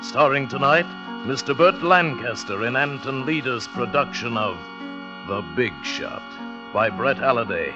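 A man's voice: medium pitch, unhurried. A man with an American accent announcing a thriller program in dramatic 1940s radio style. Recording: muffled 1940s radio, loud music.

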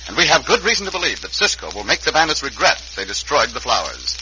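A man's voice: medium pitch, sing-song, fast, bright, forceful tone, slight background noise. A man narrating as an old-time radio announcer, in an emphatic, theatrical, scripted style. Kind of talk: dramatic 1950s radio announcing. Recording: dull vintage radio recording.

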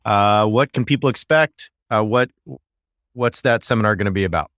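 Low-voiced man hosting a podcast. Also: moderate pace, fairly bright tone, no echo, studio quality. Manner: friendly, joking, hesitant fillers. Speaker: podcast host. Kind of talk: casual podcast chat.

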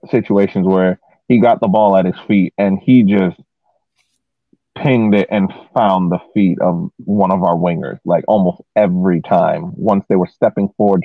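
A man's voice: deep voice, no echo. A man chatting casually about soccer as a podcast panelist, over tinny, phone-like call audio.

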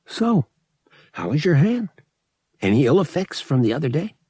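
Male narrator as a roguish young pilot, audiobook character, raspy, lively pitch, casual, coaxing, sceptical. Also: moderate pace, no room sound, studio quality. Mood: casual, probing.

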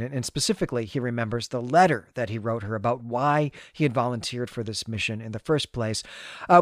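A man's voice: medium pitch, dry recording, clean studio sound. A man hosting a podcast, recapping a story conversationally in a chatty, hesitant way and often interrupting himself. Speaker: podcast host.

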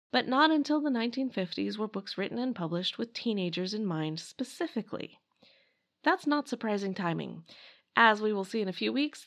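A woman's voice: mid-range voice, gravelly. A middle-aged American woman hosting a podcast, reading scripted history narration. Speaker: podcast host.

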